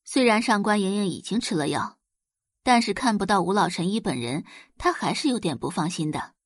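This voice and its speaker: Brief: female narrator for serial fiction, lively intonation, medium pitch, close dry sound. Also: fairly quick, no room sound. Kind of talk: scripted audiobook narration.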